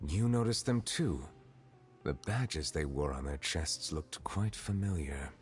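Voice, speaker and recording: A man voicing a calm, composed young traveler in a video game, speaking in a measured way. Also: a bass voice. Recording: game audio with faint music.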